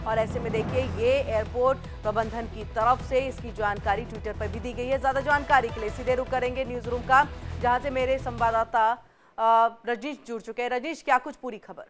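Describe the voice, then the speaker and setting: A woman's voice: fairly high pitch, steady tone, normal speed, music in the background. A woman anchoring live breaking news in a wordy, rambling way.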